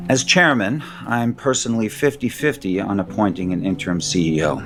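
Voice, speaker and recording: An actor playing a worldly, party-loving older board chairman in an audio soap opera, suave and confiding in a measured way. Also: fairly low pitch, vocal fry. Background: music under the dialogue.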